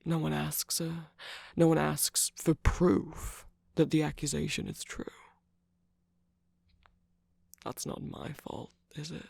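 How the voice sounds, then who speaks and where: Performer doing a gravelly, breathy, teasing villain. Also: medium pitch, little pitch movement, a little mellow, no echo, exaggerated voice. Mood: smug, teasing. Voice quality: breathy, gravelly, often dropping to a whisper. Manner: slow, coaxing, teasing, mock-innocent. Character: teasing, smug villainous cookie radio host, seductive trickster. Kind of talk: ASMR romantic roleplay, scripted character acting. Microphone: close microphone, dry.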